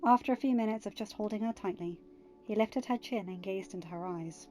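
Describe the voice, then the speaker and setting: A woman narrates scripted fiction in a mid-pitched voice. Her tone is soft-edged and mellow. Faint music plays behind her reading. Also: brisk. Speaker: audiobook narrator.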